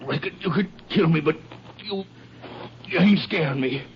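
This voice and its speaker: A man's voice: on the high side, moderate pace, lightly acted. An actor playing a defiant old policeman held at gunpoint in an old radio drama, his voice strained. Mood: weary defiance. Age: older. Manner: strained, pleading yet defiant. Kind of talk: old-time radio crime drama acting. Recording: muffled, very noisy old radio recording.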